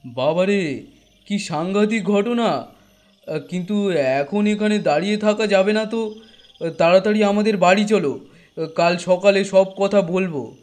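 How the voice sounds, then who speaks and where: A man playing a concerned village man in an animated horror story, talking like a local storyteller. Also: sits high, unhurried, pauses often, soft background music. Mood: startled concern. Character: concerned village man, startled, explaining grim local history.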